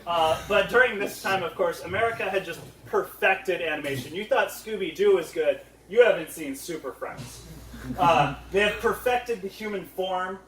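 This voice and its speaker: A man with a high-pitched voice gives a casual comic mock lecture as a panel co-presenter. He is sarcastic while pretending to be serious. The room echoes and he sounds a little way from the microphone. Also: normal speed, a bit bright, slight background noise. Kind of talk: casual comedic mock lecture to a live audience. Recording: roomy echo, picked up from a distance.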